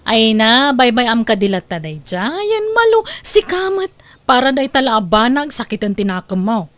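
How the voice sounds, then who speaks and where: An actress with a Filipino accent playing a gossipy, sly housemaid who grumbles and wheedles in an audio comedy drama. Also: female voice, fairly high pitch, very animated, normal speed, a bit bright, no room sound. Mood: snide, gossipy. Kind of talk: Ilocano comedy radio-style drama acting.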